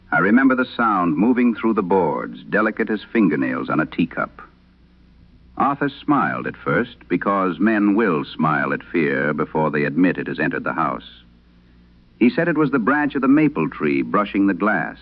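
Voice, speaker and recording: A sober farm mother's haunted account, read by a man in a very low, expressive voice. The telling is earnest and precise, in vintage radio horror style, with muffled broadcast sound. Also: heavily acted. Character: sober farm mother recounting a frightening night.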